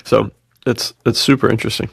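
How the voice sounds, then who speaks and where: A man with a lowish voice and plenty of gravelly creak talks in an easy, slangy podcast style. He sounds keen and agreeable, recorded very dry. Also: flat delivery, a little fast, dry recording. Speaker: podcast co-host. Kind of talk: casual enthusiastic chat.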